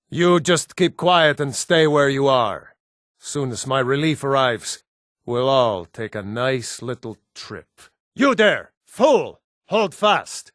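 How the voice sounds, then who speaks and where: An actor playing a stern, gruff Nord guardsman in a video game, speaking in a blunt, commanding way. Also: a little high, fairly bright tone, dry recording, studio quality. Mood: suspicious, threatening, then loud, commanding. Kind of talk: video game character dialogue.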